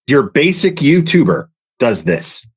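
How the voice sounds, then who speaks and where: A man's voice: medium pitch, big pitch swings, unhurried, husky, no echo, clean studio sound. A man giving blunt critical commentary, dismissive and swearing freely.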